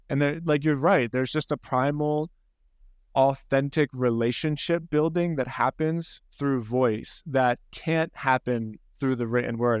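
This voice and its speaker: A man's voice: mid-range voice, clear, resonant tone, dry recording, studio quality. A man with a Philadelphia accent, hosting a podcast in casual, rambling conversation with frequent restarts. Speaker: podcast host.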